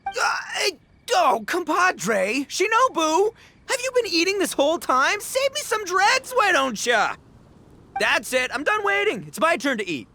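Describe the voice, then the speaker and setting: A man's voice: extremely high pitch, big pitch swings, a little slow, sharp tone, full character voice. A man voicing a brash, goofy, big-hearted young gang boss in a video game, boisterous, slangy and theatrical. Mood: outraged. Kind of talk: video game cutscene acting.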